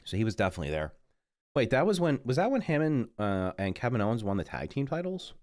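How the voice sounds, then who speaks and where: A man's voice: mid-range voice, big pitch swings, quick pace, darkish tone, dry recording, clean studio sound. A middle-aged man livestreaming a game who sounds congested and rambles in casual chat, often correcting himself mid-sentence. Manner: rambling, self-correcting, mutters while busy. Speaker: livestreaming gamer. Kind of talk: casual live stream chat.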